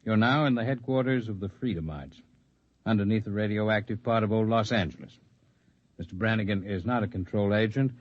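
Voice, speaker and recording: An actor playing a composed, articulate leader of an underground freedom movement in 1950s radio drama style, speaking in measured, formal, persuasive speeches. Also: male voice, lowish voice, no echo, close to an everyday voice. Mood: calm, explanatory. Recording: dull lo-fi vintage radio recording.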